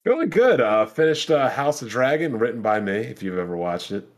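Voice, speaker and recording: A man with a very lively, swinging pitch at an average register. He talks as a podcast host, bantering and telling stories casually with slang and plenty of swearing. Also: fairly quick. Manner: animated, slangy, profane.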